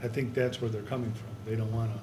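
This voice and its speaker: A man taking part in a board meeting, speaking in a measured way as he discusses and asks questions. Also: little pitch movement. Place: indoor board meeting room. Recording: noisy, echoey meeting room with rumble.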